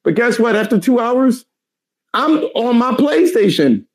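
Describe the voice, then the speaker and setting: A man in his thirties with a raspy, tired voice, ranting profanely in a heated casual chat. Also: very high voice, sing-song, unhurried, darkish tone. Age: 30s. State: tired, hoarse voice.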